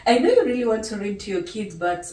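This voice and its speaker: A middle-aged woman with a Kenyan accent, hosting a casual chat, laughing often and talking in quick runs. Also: mid-range voice, sing-song, a little mellow. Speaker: podcast host, author. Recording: echoey room, mic at a distance.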